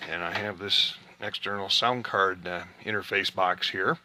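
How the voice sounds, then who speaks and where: A man hosting an amateur radio session, chatting casually and explaining things in an unhurried way. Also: low-pitched, fairly even intonation, bright, forceful tone. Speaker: amateur radio operator hosting an on-air QSO party. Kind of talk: casual ham radio chat.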